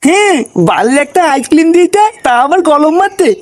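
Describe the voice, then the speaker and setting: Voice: very high voice, animated, brisk. A performer voicing a bratty little boy in a comedy cartoon, with a childish lisp and a whiny, cheeky manner. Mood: defiant. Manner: whiny, cheeky, foul-mouthed.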